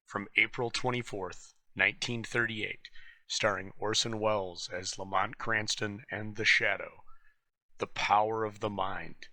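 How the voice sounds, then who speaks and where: Crisp, very bright low-voiced male narrator. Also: steady tone, unhurried, no room sound, poor audio. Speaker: presenter introducing old radio episode. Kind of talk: scripted narration.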